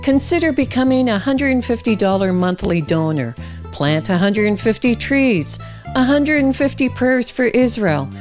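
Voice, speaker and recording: A woman reading a scripted appeal in a clear, inviting voice-over. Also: a little high, expressive, moderate pace, darkish tone, faint music behind. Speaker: announcer.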